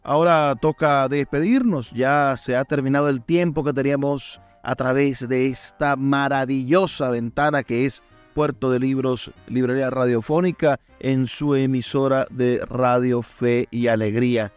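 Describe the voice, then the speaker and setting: A man's voice: medium pitch, fairly even intonation, a little slow, clean tone, no echo, faint music behind. Dry-sounding Venezuelan male radio host. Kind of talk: radio sign-off to listeners.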